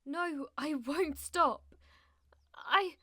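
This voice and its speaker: Woman acting a delusional schoolgirl, high voice, melodramatic, grandiose, easily flustered, audio roleplay. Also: drawn-out words, sharp tone, full character voice. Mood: fervent, pleading. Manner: theatrical, grandiose formal phrasing with flustered stammering. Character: melodramatic, delusional schoolgirl who fancies herself a demon lord's aide.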